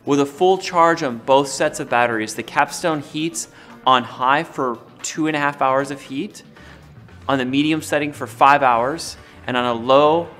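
A man in his thirties giving an upbeat, scripted product pitch for heated gloves. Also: a bit bright.

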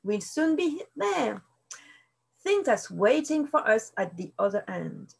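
A clever father fox, cheerful and brisk, urging his family on with a lively lilt, as a woman reads him. His pitch rides high and swoops. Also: gravelly, breathy edge, exaggerated voice.

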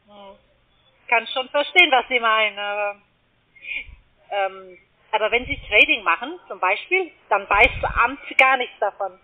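High-voiced woman on a tinny phone line. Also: expressive. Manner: hesitant, halting, persuading. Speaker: call centre trading salesperson. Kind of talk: unscripted sales phone call. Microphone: telephone.

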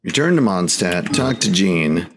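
A man's voice: deep voice, sing-song, measured pace, vocal fry, slightly rough. A man hosting a gaming video, giving casual play-by-play in short, mild exclamations. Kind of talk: casual let's play commentary. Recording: game music behind the voice. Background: video game music.

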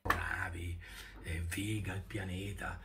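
A man's voice: low voice, little pitch movement, slow. A man in his fifties reminiscing casually to camera, hesitant and often searching for words. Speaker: vlogger. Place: indoor room at home. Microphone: camera held at arm's length. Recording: noisy amateur phone-style video recording.

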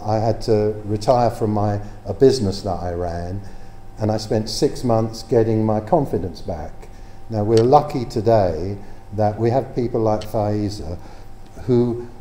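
A man's voice: lowish voice, dark tone. An older man introducing a talk in a lecture room, speaking plainly and personally with some fillers and restarts. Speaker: transplant patient introducing the speaker. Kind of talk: spoken introduction at a public talk. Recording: echoey lecture room with faint noise.

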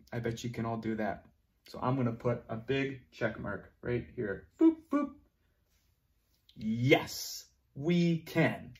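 A young man teaching preschoolers online at a whiteboard, talking slowly and encouragingly in a sing-song way, moving toward and away from the camera. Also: medium pitch. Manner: slow, sing-song, encouraging teacher talk to small children. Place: classroom at a whiteboard. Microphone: camera close up, moving away to write.

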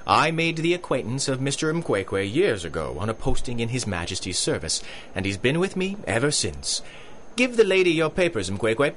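An actor playing a pompous, jovial British colonel and big-game hunter in a radio drama, with hearty, old-fashioned upper-class talk. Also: male voice, mid-range voice, very animated, moderate pace, fairly bright tone, a little hiss or hum. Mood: breezy.

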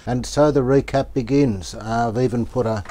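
A man giving casual, unhurried commentary as he works, thinking aloud. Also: medium pitch. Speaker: host, radio restorer. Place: small cluttered indoor workshop. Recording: clear background noise, home workshop.

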